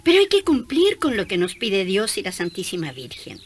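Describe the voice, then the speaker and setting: A woman playing a gentle, cheerful young missionary nun in a radio drama, affectionate and giggly. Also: medium pitch, wildly swooping pitch, moderate pace, a little hiss or hum. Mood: cheerful, devout.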